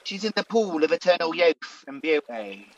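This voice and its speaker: A man's voice: a little high, big pitch swings, moderate pace, long reverb tail, dull audio. An actor playing a scheming, boastful Demon King villain in a radio pantomime, with a grandiose, theatrical delivery. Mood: gloating.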